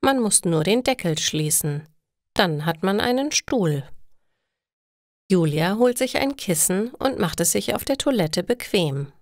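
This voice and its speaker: A woman reading a scripted story for language learners in a deliberate, careful storytelling manner. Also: medium pitch, lively intonation, dry recording, clean studio sound. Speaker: narrator of German listening lessons.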